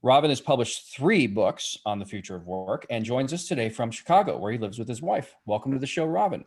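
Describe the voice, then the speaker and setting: Man hosting a podcast, mid pitch. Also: expressive, brisk, darkish tone. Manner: reads intro, then casual. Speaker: podcast host. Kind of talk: interview intro and questions. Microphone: video call.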